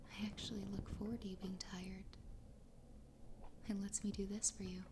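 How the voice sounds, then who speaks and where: A woman voicing an obsessively loving fox girl wife in a soft, breathy, tender ASMR roleplay whisper. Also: medium pitch, background noise, lightly acted. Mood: tender, soothing. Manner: tender, slow, intimate. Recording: close whisper over background music. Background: music.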